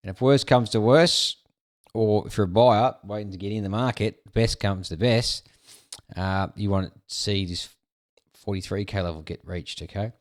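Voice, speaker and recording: Rambling young Australian man, low voice. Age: 20s. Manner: rambling, drawn-out fillers, frequent "okay". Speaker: crypto video host. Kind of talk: casual market analysis over charts.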